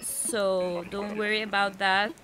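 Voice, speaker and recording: A woman's voice: medium pitch, little pitch movement, slow pace, a crowd in the background, poor audio. A young woman with an Egyptian Arabic accent, a native Arabic speaker, chatting spontaneously and giggling as she comments on her game. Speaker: gaming YouTuber. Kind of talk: casual gameplay commentary. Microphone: headset.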